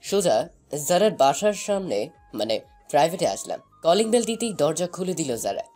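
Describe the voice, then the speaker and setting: A man's voice: fairly high pitch, big pitch swings, moderate pace, a little mellow, soft background music. A man playing a shy young college student, narrating a romantic story in the first person.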